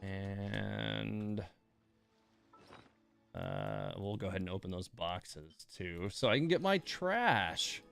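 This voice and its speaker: A man's voice: on the low side, big pitch swings, slow, vocal fry, a bit bright, faint music behind. Grumbling man streaming a video game. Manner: grumbling, muttering reactions to the game. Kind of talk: casual live gameplay commentary.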